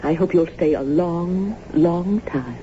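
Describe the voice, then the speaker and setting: Mellow, gracious, eccentric lady of the manor. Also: fairly low pitch, slow. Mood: gracious, effusive. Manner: gracious, effusive, old-fashioned formality. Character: genteel, eccentric lady landowner with a secret. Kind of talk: radio drama acting. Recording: dull, noisy old radio broadcast.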